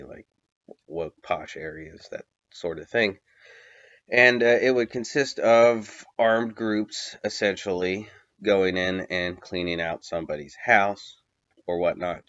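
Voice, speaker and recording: A man narrating a video essay in an unscripted, halting way, with many fillers and long spoken lists. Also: low voice, a bit bright, no room sound, dull audio. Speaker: commentator.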